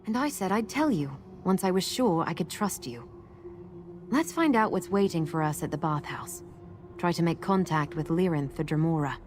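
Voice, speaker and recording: A woman playing a guarded, determined guide in a fantasy video game, speaking in a measured, resolute manner. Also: lowish voice, animated, darkish tone, soft background music, natural voice.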